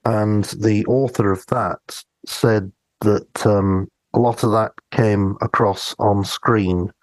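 A man co-hosting a podcast, chatting in a measured, slightly hesitant and reflective way. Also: fairly low pitch, steady tone, no echo, rough recording. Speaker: podcast co-host. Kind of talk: casual discussion of a radio drama.

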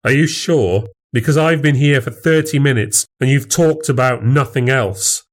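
A man's voice: medium pitch, normal speed, voice pushed up, close to an everyday voice. A man voicing a jealous, sarcastic husband in audiobook dialogue, goading and sneering.

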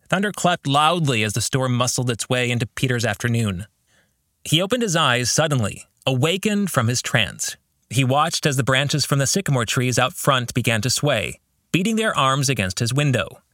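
A man's voice: medium pitch, big pitch swings, moderate pace, a bit bright, no room sound, studio quality. A man hosting a podcast in a reflective monologue, speaking to listeners with earnest, emphatic phrasing. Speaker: podcast host and author, reading own book.

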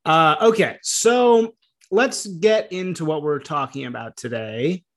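A man from Chicago hosting a casual interview podcast, talking in a rambling, animated way with many fillers and restarts. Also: on the high side, measured pace. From Chicago, US Midwest. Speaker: podcast host.

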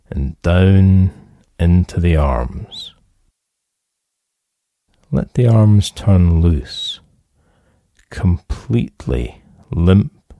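A man leading a guided relaxation as a therapist, calm and soothing, with measured instructions and pauses. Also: low voice, creaky, dry recording, studio quality.

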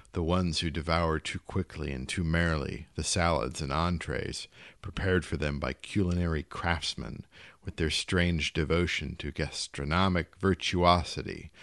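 A man's voice: low-pitched, fairly bright tone, no room sound, studio quality. A man reading a literary short story aloud as scripted narration.

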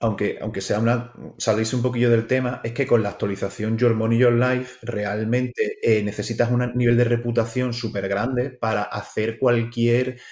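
A man's voice: mid-range voice, steady tone, moderate pace, hardly any pauses, darkish tone, echoey room. A man from Málaga with a mostly neutral central Spanish accent and a slight Andalusian lilt, a doctor talking casually as an interview guest, loose and chatty with many restarts. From Málaga, Spain. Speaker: guest endocrinologist.

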